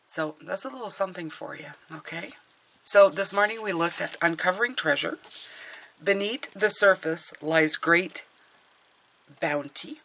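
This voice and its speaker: A middle-aged woman with a hoarse voice from a cold, chatting casually through a tarot reading with fillers and restarts. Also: lowish voice, fairly bright tone. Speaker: tarot reader.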